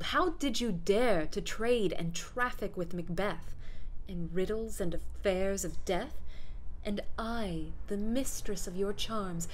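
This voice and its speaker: A woman's voice: medium pitch, slight background noise. A woman voicing a haughty, indignant goddess of witches, declaiming theatrical verse as she scolds her underlings.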